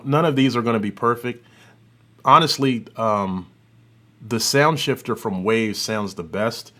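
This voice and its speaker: A man hosting an audio software tutorial, talking informally and thinking aloud as he works through a demo. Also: medium pitch. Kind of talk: casual demo commentary.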